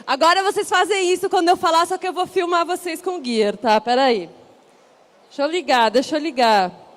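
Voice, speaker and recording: A woman with a São Paulo accent hosts a panel on stage, her voice sitting high. She talks in an upbeat, chatty way and keeps the audience involved. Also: fairly quick. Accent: São Paulo Portuguese. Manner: upbeat, chatty, rallies the crowd. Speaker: event host. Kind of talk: live stage hosting. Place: stage at a large event.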